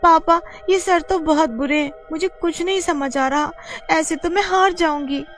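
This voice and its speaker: A woman voicing an earnest young girl wrestler, a devoted daughter, in an animated story. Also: very high voice, moderate pace, soft background music, voice pushed up. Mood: anxious, pleading. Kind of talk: Hindi animated story acting.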